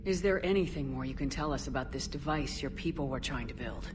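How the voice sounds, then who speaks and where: Game lead: very low voice, direct, composed space officer, noisy ambience behind. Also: female voice. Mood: probing. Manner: measured, direct questioning. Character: composed, inquisitive female military commander in space. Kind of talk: video game character dialogue. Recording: clear background noise, ship ambience.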